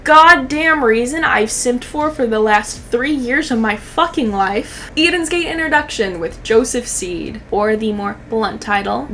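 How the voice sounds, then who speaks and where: A young woman with a high voice delivers casual, comedic reaction commentary. She is sarcastic and sweary, with expressive delivery over clearly audible background noise. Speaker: host of a video commentary channel.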